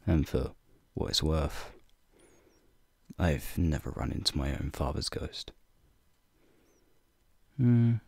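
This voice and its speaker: A man playing a flirty, teasing werewolf guide in an ASMR roleplay, talking softly and playfully to the listener in a gravelly, breathy voice. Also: very animated, slow pace, long pauses, no echo, heavily acted. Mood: reflective, gentle. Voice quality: deep, gravelly, breathy low voice. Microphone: close microphone.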